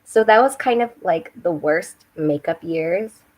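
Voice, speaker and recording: A young woman with a slightly hoarse voice, chatting casually and rambling a little on a home live stream while doing her makeup. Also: lowish voice, lively intonation, measured pace, poor audio. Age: young adult. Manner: rambling, chatty, with restarts and self-corrections. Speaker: book and makeup channel host. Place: home room with bookshelves. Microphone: webcam.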